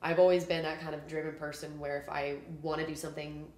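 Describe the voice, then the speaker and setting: A woman musician talking casually in a podcast interview, chatty and animated, with plenty of fillers. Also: sits low, fast, echoey room, slight background noise. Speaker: musician guest.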